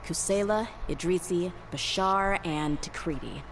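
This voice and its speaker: Fiery female desert mercenary, low-set voice, blunt, game dialogue, audible noise. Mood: determined. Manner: blunt, quick to anger, then composed.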